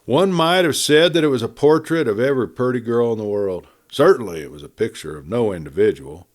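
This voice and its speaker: A man reading a western story aloud in a dramatic, folksy, expressive storytelling manner. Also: mid-range voice, a little fast. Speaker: audiobook reader.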